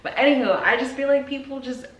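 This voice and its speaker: A woman's voice: sits high, quick pace, far from the mic. A woman in her mid twenties chatting casually and candidly to camera, slangy and informal, slipping into little dramatized asides. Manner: animated, dramatizing her own thoughts in little acted asides. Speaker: vlogger. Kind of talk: casual chit-chat to camera. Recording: roomy echo, off-mic, faint background noise.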